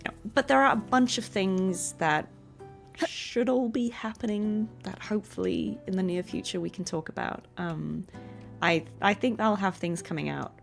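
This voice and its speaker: A woman's voice: mid-range voice, normal speed, vocal fry, soft background music. A woman chatting casually and affectionately to viewers on a livestream from home, giggly and chatty. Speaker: streamer and voice actress.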